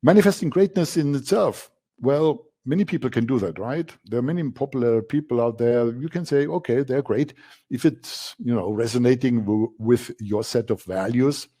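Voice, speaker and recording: German-accented man presenting, with a mellow voice. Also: mid-range voice, normal speed. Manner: emphatic, repeats key words for stress. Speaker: coaching instructor. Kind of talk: masterclass presentation.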